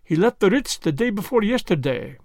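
A man's voice: high voice, sing-song, a little fast, a little mellow, no room sound, studio quality, voice pushed up. A man reading the part of a fussy, vain elderly Belgian detective who speaks precisely and drops French words. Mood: placid reasoning.

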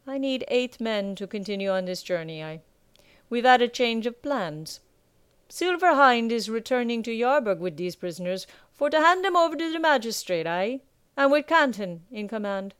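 A commanding male sea captain, as a woman reads him out, speaking in clipped, rough sailor talk. His voice sits higher and louder than the narration around him, and it comes through clear and dry, with no room sound. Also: very animated, normal speed, dry recording. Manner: rough sailor talk, tags lines with "aye".

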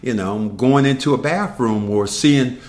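A man's voice: mid-range voice, normal speed. An older man, a podcast co-host, telling childhood memories in casual conversation and acting out the people he quotes. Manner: storytelling, animated when quoting others.

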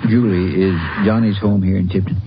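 A man's voice: low-pitched, fairly even intonation, normal speed. A man playing a kindly, practical small-town sheriff in a 1950s radio western, plainspoken and protective. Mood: soothing. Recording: muffled, noisy old radio recording.

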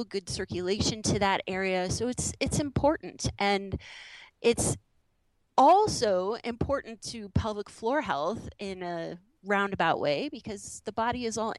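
A woman guest on a podcast, a movement specialist who explains things in a calm, measured way. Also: medium pitch, no echo, rough recording. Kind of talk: explanatory interview answers.

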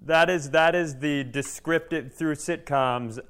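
Clear-voiced, foul-mouthed male podcast host. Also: fairly high pitch, a little slow, a bit bright. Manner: profane, teasing. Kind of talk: casual comedic banter.

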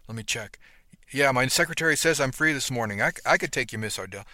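A man's voice: medium pitch, lively intonation, quick pace, bright, forceful tone, dry recording, clean studio sound, voice pushed up. A man voicing a blustering middle-aged male operations manager who is brusque, condescending and prone to stammering, in a story. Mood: flustered, stammering.

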